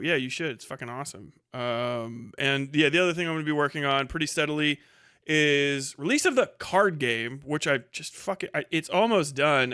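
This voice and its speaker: A man's voice: a little high, pushed and bright, clean tone, no echo, clean studio sound. A man hosting a casual livestream, reading viewer comments and riffing in a profane, sardonic way. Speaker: livestream host.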